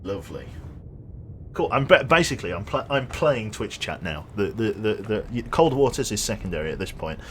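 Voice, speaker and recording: A man's voice: on the low side, sing-song, a little fast, fairly bright tone, a little hiss or hum. A young man streaming a game, rambling casually and chatting with his viewers. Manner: rambling, chatty, reads chat aloud and jokes. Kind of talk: casual live-stream chat while gaming.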